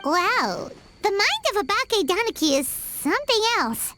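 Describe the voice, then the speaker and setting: A tiny, cheeky fairy companion from a video game. The childlike voice is extremely high and animated, with clear music playing behind. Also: female voice, normal speed. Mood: exasperated. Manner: childlike, pert. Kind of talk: cartoonish video game acting.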